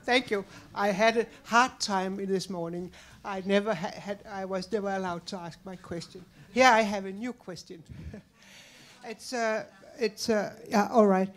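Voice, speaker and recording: A woman in an audience asking a spontaneous question, halting and restarting her sentences. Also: medium pitch, steady tone. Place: audience at a public talk.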